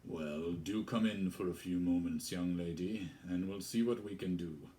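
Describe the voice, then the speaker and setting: Casting: man reading as a forbidding, deep-voiced vicar, guarded and formal, very low register. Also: moderate pace, warm tone, slight background noise, lightly acted. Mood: wary. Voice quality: deep, mournful. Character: tall forbidding male vicar, deep mournful voice.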